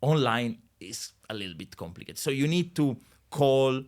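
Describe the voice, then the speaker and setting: A middle-aged Italian man, a guest on a podcast, speaking English with an Italian accent in an animated, jokey way, with many restarts. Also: medium pitch, moderate pace. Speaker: guest, real estate agent. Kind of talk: casual podcast conversation.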